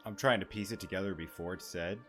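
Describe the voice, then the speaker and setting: A male game streamer with a deep voice reacts casually as he plays. His delivery is joking and offhand, often muttered, and game music plays underneath. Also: fast talker. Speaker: gaming streamer. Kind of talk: casual playthrough commentary.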